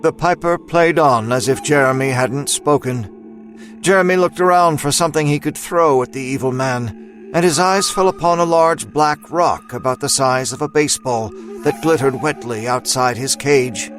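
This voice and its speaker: A man narrates a scripted horror story in a mid-range voice. Clear music plays behind the narration. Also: medium pitch, moderate pace, a bit bright.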